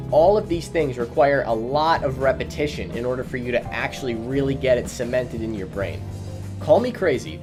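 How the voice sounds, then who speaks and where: Emphatic voice giving study tips over music. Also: mid-range voice. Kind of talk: casual advice to camera.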